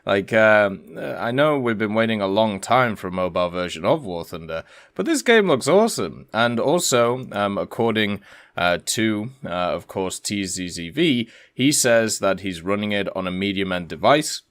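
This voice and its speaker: A man's voice: on the low side, expressive, normal speed, fairly bright tone. A man giving casual, rambling commentary as the host of a gaming video.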